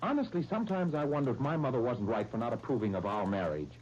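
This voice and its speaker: A man's voice: mid-range voice, animated, quick pace, a little mellow, natural voice. An American man in 1930s radio soap style, playing a moody, jealous, insecure lawyer husband who turns from sarcastic to tender. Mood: sarcastic, hurt. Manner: sarcastic, agitated, swinging between bitter and tender. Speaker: radio actor. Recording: dull, noisy 1930s radio recording.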